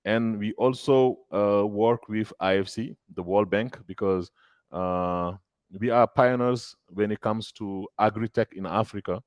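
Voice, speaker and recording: Guy on a webinar call, hesitant, lots of uhs, dry low voice. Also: unhurried, dry recording. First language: French. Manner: hesitant, many fillers and restarts. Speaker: agritech founder answering questions. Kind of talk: webinar Q&A answer. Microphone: video call.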